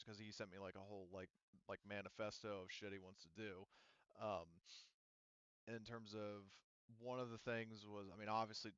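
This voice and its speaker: Male game master, low smooth voice, rambling and casual, close dry sound with slightly dulled top end. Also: a bit bright, no echo, muffled recording. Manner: rambling, thinking aloud, casually profane. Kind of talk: casual tabletop roleplaying game narration.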